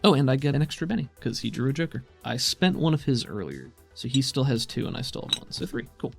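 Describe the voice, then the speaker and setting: A man's voice: medium pitch, sing-song, fast, vocal fry, slightly rough. Conversational male game master over faint music. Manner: explanatory, conversational. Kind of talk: narrating and refereeing a tabletop RPG.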